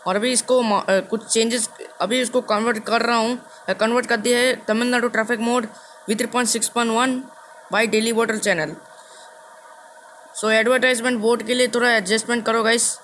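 A young man giving casual gameplay commentary as a video host, halting and repeating his phrases as he goes. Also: very high voice, steady tone, fairly bright tone. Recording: clearly noisy game capture.

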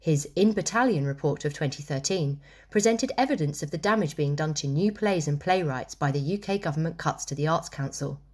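A woman's voice: low-pitched, animated, brisk. A woman host speaking in a scripted, presenterly way as she introduces an interview.

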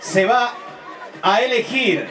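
A man's voice: high-pitched, lively intonation, slow pace. A man making announcements as master of ceremonies at an outdoor event. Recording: echoey distant PA with crowd.

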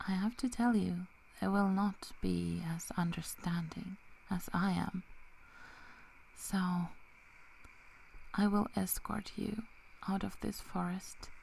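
A woman playing a young, protective, teasing female werewolf in a soft, half-whispered ASMR roleplay, with long pauses. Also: medium pitch, a little hiss or hum, low-quality recording. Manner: slow, hushed, breathy delivery with long pauses.

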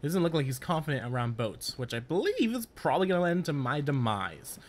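Excitable higher-voiced man swearing through a game. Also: brisk. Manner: profane, excitable, talks to the game character. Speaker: gaming video host. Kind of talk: casual game playthrough commentary. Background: game audio of boat and water.

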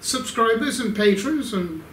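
An older man giving a casual update from his home workshop, talking slowly with lots of ums and restarts while holding the camera. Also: extremely high pitch, animated, a bit bright, slight background noise. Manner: slow, rambling, many fillers. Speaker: workshop video maker.

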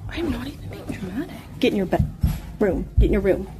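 A woman's voice: medium pitch, expressive, fairly quick, music in the background. A young woman streaming from her home kitchen, chatting casually and reacting dramatically while she cooks. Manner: dramatic, squeamish, exclaiming. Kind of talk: casual livestream chat while cooking.

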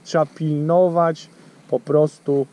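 A man's voice: fairly high pitch, little pitch movement, slow, dark tone. A man in his thirties hosting a casual fishing vlog from a small boat, chatting to his friend and talking straight to viewers with lots of fillers. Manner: chatty, addresses viewers directly, many fillers. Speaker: fishing channel host. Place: small boat on a lake outdoors. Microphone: action camera on the boat.

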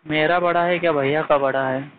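A man's voice: fairly high pitch, fairly even intonation, moderate pace. Man asking questions over a thin phone line. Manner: insistent, repeated short questions. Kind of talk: casual phone conversation. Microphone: phone call. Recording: tinny phone call with faint noise.

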